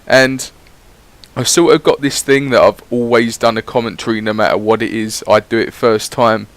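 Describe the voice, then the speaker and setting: A man's voice: medium pitch, fairly even intonation, moderate pace. A man giving casual, rambling voice-over commentary on his gameplay, swearing freely as he chats. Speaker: gaming YouTuber.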